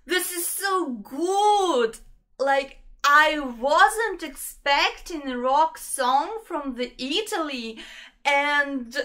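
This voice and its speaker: A young woman vlogger with a Ukrainian accent reacts casually and with open enthusiasm. Her voice is fairly high and very bright, and she takes her words at a slightly unhurried pace, full of exclamations. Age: young adult. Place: small indoor room.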